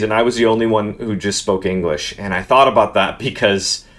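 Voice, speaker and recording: Male book reviewer, low-pitched and earnest, talks off the cuff and stumbles over restarts. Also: fairly bright tone. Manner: earnest, thoughtful, restarts words often. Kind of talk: unscripted book review monologue.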